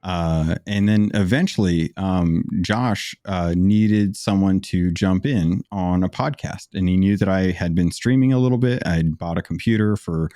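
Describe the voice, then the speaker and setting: A man with a deep voice, a guest on a gaming podcast, talking in a relaxed, rambling way with frequent restarts. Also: normal speed, few pauses, no room sound, studio quality. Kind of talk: casual conversation.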